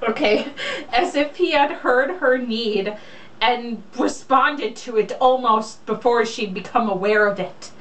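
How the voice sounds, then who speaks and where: A woman's voice: on the high side, unhurried. A young woman reading a romance novel aloud casually, in a mocking, irreverent way. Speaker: reader. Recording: echoey room, distant mic, faint noise.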